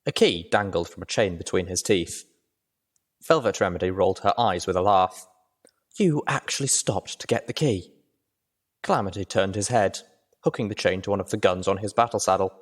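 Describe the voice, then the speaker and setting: A man's voice: sits low, a little fast. A man reading scripted adventure fiction as the narrator.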